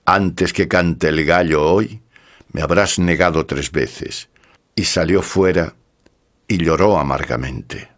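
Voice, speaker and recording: Male narrator, low pitch, solemn, measured, scripted scripture reading.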